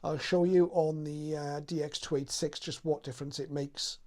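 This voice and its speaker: Man, slightly high voice, casual hobby demonstration, explanatory, unscripted host. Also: steady tone, moderate pace, warm tone. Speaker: host of a shortwave radio how-to video.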